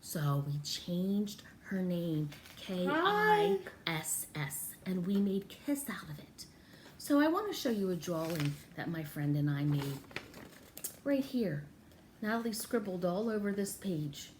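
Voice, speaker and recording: A woman's voice: fairly low pitch, sing-song, slow pace, darkish tone, a little hiss or hum. A woman teaching and storytelling for small children, talking in an exaggerated sing-song way with coaxing questions and repetition. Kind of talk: children's story time with craft demonstration.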